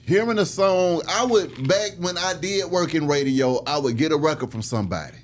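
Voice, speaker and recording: A man hosting a casual conversational interview, animated and slangy, chiming in with encouragement. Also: fairly high pitch, normal speed. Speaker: interviewer, podcast host.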